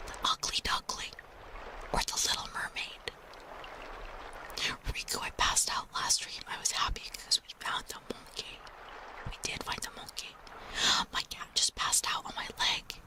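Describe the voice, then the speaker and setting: A woman in her thirties hosting a whispered ASMR livestream, chatting playfully with her viewers and greeting them by name. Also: poor audio. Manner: playful, chatty, reading out chat names. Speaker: livestream host.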